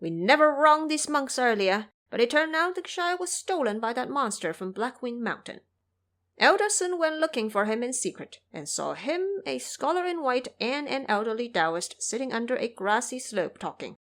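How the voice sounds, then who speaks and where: A brash monkey disciple for a fantasy audio drama, acted out by a woman. The voice sits high and swings widely in pitch. It is swaggering, emphatic and commanding, with a protective streak. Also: sing-song, moderate pace, fairly bright tone. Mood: boastful reporting.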